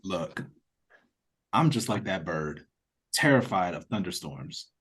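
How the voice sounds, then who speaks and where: A chatty, teasing late-night diner customer, acted by a man. His husky voice moves around a lot in pitch, with a casual, conversational delivery for an audio play. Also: expressive, slow, fairly bright tone, full character voice. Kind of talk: audio drama read-through. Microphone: video call.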